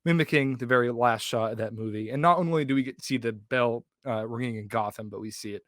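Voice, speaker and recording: Man, podcast co-host, middle pitch, dry close sound, casual, stop-start self-correcting phrasing. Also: fast, a bit bright, dry recording. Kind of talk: casual chat.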